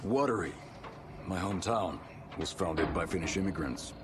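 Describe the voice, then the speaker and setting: An actor playing a jovial, folksy small-town tour guide, chatty and showy in a video game. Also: male voice, low-pitched, normal speed, noisy background, natural voice. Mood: friendly, enthusiastic. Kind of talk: video game character acting.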